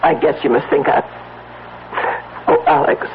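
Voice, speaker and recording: An actress playing a frightened, shaken wife in a halting, breathless old-time radio drama style. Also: female voice, low voice, steady tone. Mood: shaken, anxious. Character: frightened, shaken wife recounting a scary encounter. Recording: muffled, noisy old radio broadcast.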